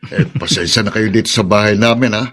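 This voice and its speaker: A man's voice: lowish voice, fast talker, fairly bright tone. A man playing a humble, eager school janitor in a radio drama, deferential and chuckling, with a Filipino accent. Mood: apologetic, humble.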